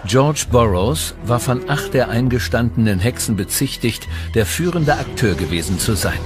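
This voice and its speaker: A man's voice: mid-range voice, lively intonation, normal speed. A man giving scripted voice-over commentary in a historical documentary. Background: music.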